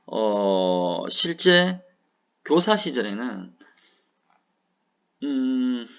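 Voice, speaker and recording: A man's voice: mid-range voice, big pitch swings, very slow, vocal fry, clear, resonant tone. A middle-aged man from South Gyeongsang with a Gyeongsang Korean accent, a professor lecturing who keeps asking rhetorical questions and answering them himself. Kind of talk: recorded lecture. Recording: dull, lo-fi lecture recording.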